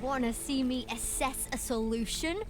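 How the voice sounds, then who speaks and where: A woman playing a brash, irreverent adventurer in a video game, blunt and mocking with drawn-out sarcasm. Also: high voice, over music, close to an everyday voice. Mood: sardonic.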